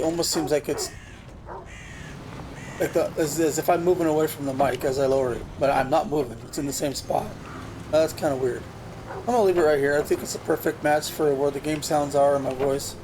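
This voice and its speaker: A man talks loosely through his gameplay, circling back and restarting his sentences as he goes. His voice sits a little high for a man. He is recorded at home on a USB desk mic, and the game audio is clearly audible under him. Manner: rambling, thinks aloud with restarts. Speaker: gaming channel host. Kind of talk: casual gameplay commentary. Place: home computer setup. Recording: noisy game sound behind voice. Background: truck game sounds.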